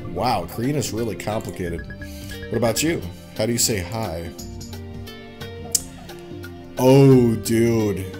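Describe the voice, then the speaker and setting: Man, deep-leaning voice, casual let's play commentary, informal, exclamatory, game music behind. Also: lively intonation, slow, pauses often. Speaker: gaming YouTuber.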